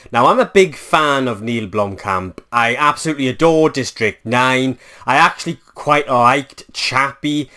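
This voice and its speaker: A man's voice: fairly high pitch, fairly bright tone. A man from Wales with a Welsh accent, giving a casual spoken film review in a chatty, rambling way with many fillers and self-corrections.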